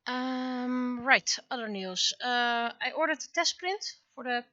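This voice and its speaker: A bright-voiced woman thinks aloud on a casual art livestream, speaking slowly and haltingly, half to herself. The sound is very dry and a little dull. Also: fairly high pitch, no room sound. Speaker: livestreaming webcomic artist. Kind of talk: casual livestream chat while working.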